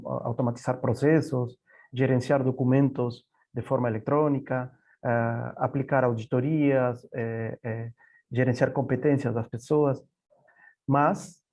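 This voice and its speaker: A man's voice: medium pitch, dark tone. Peruvian-accented man on a dull call. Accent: Peruvian Spanish. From Peru. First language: Spanish. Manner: halting, often repeats words and says "eh". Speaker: panelist, software company representative. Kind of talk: conference presentation over video call.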